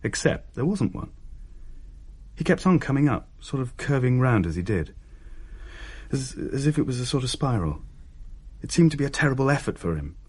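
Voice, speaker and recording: A man's voice: medium pitch, very animated, moderate pace, long pauses, a little mellow, slightly rough. An actor playing a young man who tells of things in a hesitant, descriptive way in a naturalistic radio play. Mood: haunted, awed. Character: uneasy younger man recounting a ghost sighting.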